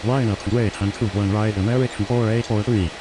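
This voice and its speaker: Male pilot, lowish voice, clipped radio phraseology, heavy background noise. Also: steady tone, fairly quick. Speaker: airline pilot on air traffic radio. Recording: loud noisy hiss and hum.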